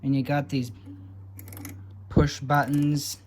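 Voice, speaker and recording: A man with a mid-pitched voice talks casually and slowly as he shows things off. His delivery is dry and wry, an unscripted vlogger's commentary with plenty of time between thoughts. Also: little pitch movement, a little mellow, slight background noise. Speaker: vlogger showing old radio repairs.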